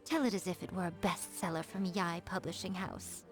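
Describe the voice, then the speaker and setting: A woman's voice: fairly low pitch, natural voice. Woman voicing sly elegant fox spirit lady from a game, playful n coy, teasing phrases, music behind her. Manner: playful, coy, self-assured, speaking in measured teasing phrases. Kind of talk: English video game character voice acting. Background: background music.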